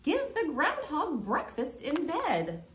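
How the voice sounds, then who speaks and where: A woman's voice: high-pitched, huge pitch swings, slow pace, fairly bright tone, roomy sound, a little hiss or hum, voice pushed up, heavily acted. A woman voicing a helpful, eager small child speaking up in a children's storybook.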